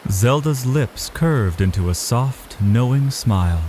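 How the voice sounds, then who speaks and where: A man's voice: mid-range voice, lively intonation, slow, darkish tone, a little hiss or hum. A man narrating a scripted fantasy story in a dramatic style.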